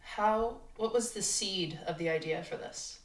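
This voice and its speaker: A woman's voice: medium pitch, unhurried. A woman chatting casually as a cast member on a panel. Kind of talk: casual chat. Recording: echoey room, distant mic.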